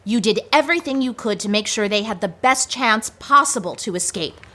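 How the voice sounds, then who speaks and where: A woman's voice: mid-range voice, lively intonation, a little fast, bright, forceful tone. A woman playing a stern, resolute spy section chief with formal, precise diction in an audio drama. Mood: firm, resolute.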